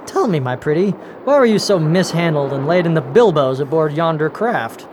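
Casting: man to play swaggering, ruthless pirate captain for radio drama; higher-pitched, very animated, archaic bluster. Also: normal speed, noisy background, lightly acted. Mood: lusty, boastful. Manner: blustering, theatrical, archaic seafaring talk.

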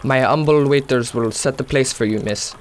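A man's voice: medium pitch, normal speed, slight background noise, lightly acted. A man playing a courtly but stern café manager in radio theatre, formal and firm.

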